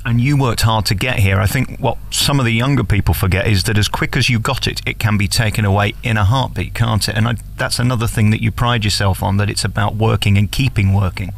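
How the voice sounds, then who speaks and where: Male celebrity interviewer: fairly low pitch, noticeable fry, conversational and leading. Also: steady tone, brisk, few pauses.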